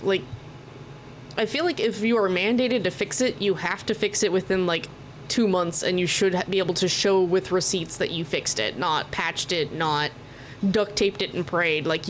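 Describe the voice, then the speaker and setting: An American woman rambling casually and informally as she livestreams a video game, sounding a bit tired. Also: fairly low pitch. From Oklahoma, United States. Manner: rambling, informal, occasional swearing. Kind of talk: casual chatty gaming stream commentary. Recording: noticeable background noise behind the mic.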